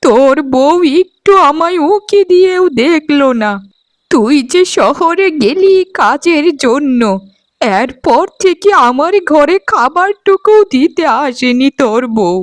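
A woman's voice: sits high, animated, slow, no echo. A woman playing a bitter, self-pitying, ailing old mother-in-law in a melodramatic cartoon, drawing out her words. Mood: self-pitying, tearful.

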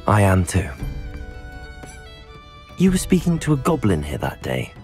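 An actor playing an earnest teenage wizard student in a video game, polite and composed. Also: male voice, low voice, huge pitch swings, unhurried, a little mellow, faint music behind, full character voice. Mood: composed, curious.

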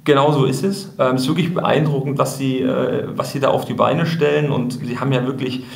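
A man's voice: mid-range voice, flat delivery, fairly quick, vocal fry. A man speaking as the guest in a podcast interview, explaining things thoughtfully and restarting sentences often. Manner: thoughtful, many fillers and restarts. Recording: roomy echo.

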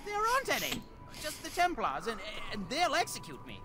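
A shifty bandit from a fantasy video game. His voice sits high and swoops dramatically up and down. He is evasive and defensive, forever excusing himself like someone backed into a corner. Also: huge pitch swings, brisk, over music, heavily acted. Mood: pleading. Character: cornered male bandit, evasive and pleading, then defiant.

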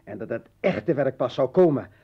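A man's voice: mid-range voice, expressive, moderate pace, husky. An actor in a radio play as an embittered, rambling revolutionary and desperate father, speaking rhetorically and swinging between brooding and outburst. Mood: reflective, grave. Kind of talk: dramatic radio play acting. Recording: dull lo-fi old radio recording.

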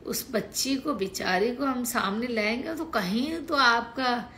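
A hoarse woman from Haryana ranting crudely and combatively on a late-night live stream from home, swearing heavily into her phone. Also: on the high side, a little slow, a bit bright, a little hiss or hum. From Haryana, India.

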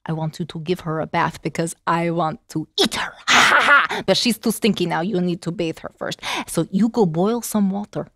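A woman's voice: on the low side, moderate pace, few pauses, fairly bright tone, no echo, studio quality, pitched below the performer's own voice. A woman voicing a greedy old witch who wants to eat a girl, bossy and cackling. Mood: scheming.

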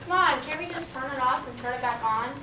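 A high-voiced, whiny power plant worker, played by a teenage girl in a school drama. The tone is impatient. The recording is distant, echoey and noisy. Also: quick pace, sharp tone. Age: young teen. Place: small office. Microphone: far camera. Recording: echoey room, distant mic, clear noise.

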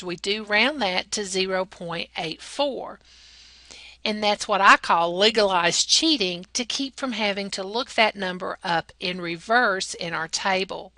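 Patient female math tutor, slow and deliberate, bright tone, dry close voice-over. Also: mid-range voice, dry recording. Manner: patient, step-by-step teacherly delivery. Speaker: math lab technician narrating a lesson. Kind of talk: slow, deliberate classroom explanation over slides.

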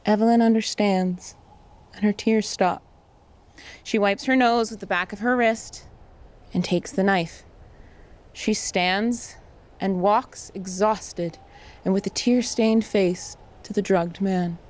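A woman's voice: medium pitch, steady tone, takes it slowly, darkish tone. A woman host giving scripted dramatic narration of a story.

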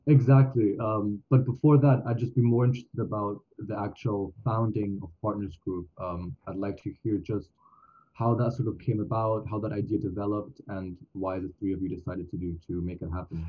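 A man's voice: lowish voice, fairly even intonation, normal speed. A young man interviewing a guest over a video call, polite and dotted with fillers. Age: young adult. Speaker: student interviewer. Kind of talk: interview questions. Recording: dull video-call audio.

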